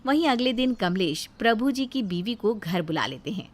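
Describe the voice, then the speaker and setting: A woman's voice: mid-range voice, lively intonation, moderate pace. A woman reading a scripted family story as its narrator, in a storytelling style.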